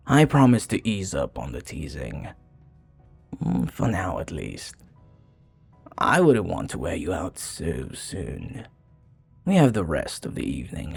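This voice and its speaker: Man as a low, husky, flirty vampire bartender. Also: heavily acted. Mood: flirty, teasing. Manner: soft, unhurried, teasing, with breathy murmurs and hesitations. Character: flirty, charming male vampire bartender, smooth teasing flatterer. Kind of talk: intimate ASMR roleplay acting.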